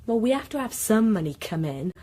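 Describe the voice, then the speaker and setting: A woman's voice: mid-range voice, very animated, brisk, dark tone. An actress playing a candid, gossipy young servant girl, chatty yet deferential, in period radio drama. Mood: rueful. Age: young adult.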